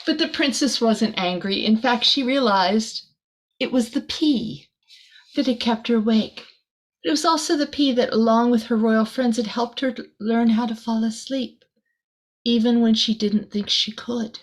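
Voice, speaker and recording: A woman's voice: a little high, measured pace, rough recording. A woman reading a children's picture book aloud expressively, adding small chatty asides for a young listener. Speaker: storybook reader.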